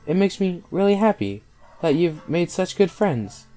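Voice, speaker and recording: A man's voice: high-pitched, sing-song, a little slow, warm tone, faint music behind, pitched above the performer's own voice. A man voicing a sweet, tearful, self-blaming teenage schoolgirl in a visual novel, hesitant and pleading.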